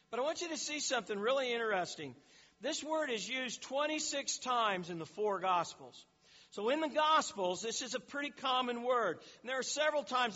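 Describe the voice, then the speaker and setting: A man's voice: extremely high pitch, sharp tone, in a large hall, muffled recording. A man teaching a sermon in an explanatory way, often repeating words and restarting phrases. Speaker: preacher.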